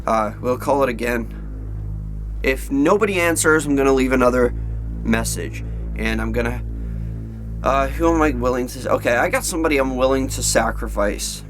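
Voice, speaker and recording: Male video host, casual and hesitant delivery, lowish-to-middle everyday pitch, clear music bed behind. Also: normal speed. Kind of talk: casual chat to viewers.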